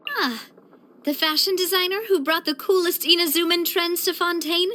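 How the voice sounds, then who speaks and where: A woman voicing a bright, earnest, sociable young female leader in a video game, chatty and expressive. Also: very high voice, normal speed. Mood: cheerful, fond. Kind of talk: video game character voice lines.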